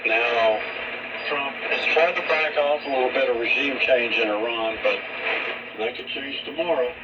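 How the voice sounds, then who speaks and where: Heavy noise and a far-off sound surround a man on shortwave radio. His voice is mid-pitched, and he delivers political commentary in deliberate, emphatic pieces. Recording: distant, dull, very noisy shortwave radio reception.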